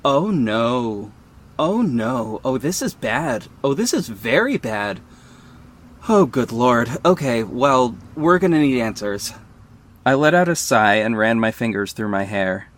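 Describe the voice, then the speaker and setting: Man acting wry young boss, animated delivery. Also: medium pitch, slow pace, exaggerated voice. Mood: anxious, then wry, businesslike. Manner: wry, dramatic. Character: young, petty, sarcastic company head and narrator. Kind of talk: first-person audio drama narration and dialogue.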